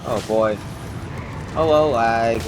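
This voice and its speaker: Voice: medium pitch, slow pace, music in the background, poor audio. A game streamer giving casual, rambling commentary while playing, often exclaiming in mild bewilderment. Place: indoors, live stream with game music behind the voice.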